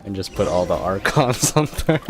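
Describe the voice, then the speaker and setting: A man's voice: low-pitched, sing-song, moderate pace, music in the background. A man streaming a game, giving casual off-the-cuff commentary. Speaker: gaming streamer. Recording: noisy mic over game audio.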